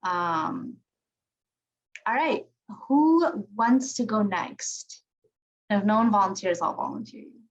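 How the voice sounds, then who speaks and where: A woman's voice: medium pitch, lively intonation, takes it slowly, dull audio. A woman facilitating an online youth check-in, affectionate and encouraging, praising each young speaker in turn. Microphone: video call.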